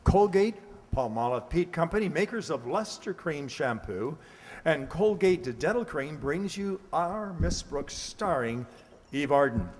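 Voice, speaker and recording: A man's voice: fairly high pitch, lively intonation, measured pace. A man announcing an old-time radio comedy from a script in a formal, presentational way. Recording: very reverberant hall sound.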